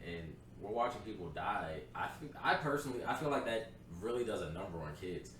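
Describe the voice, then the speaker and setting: A man's voice: low-pitched, animated, a little fast, fairly bright tone. A man in his twenties co-hosting a podcast, talking in a blunt, opinionated, casual way and laughing easily. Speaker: podcast co-host. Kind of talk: casual chat. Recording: very echoey room, far from the mic, faint noise.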